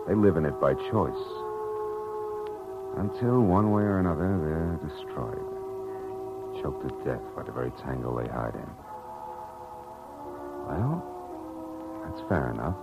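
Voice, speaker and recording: A man's voice: a bass voice, vocal fry, exaggerated voice, low-quality recording. An American actor playing a weary, wry hard-boiled male private detective, terse and slangy in 1940s radio style. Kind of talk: 1940s radio acting with first-person narration. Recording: old radio drama recording with music.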